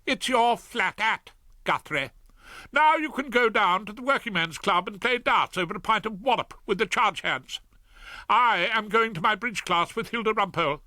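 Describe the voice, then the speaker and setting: A cutting, upper-class judge's wife, as a man puts her on speaks high and bright, her voice swooping with scorn. Her dry, close delivery keeps every barb crisp. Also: animated, moderate pace, no room sound, heavily acted. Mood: scornful. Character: haughty sharp-tongued judge's wife, middle-aged.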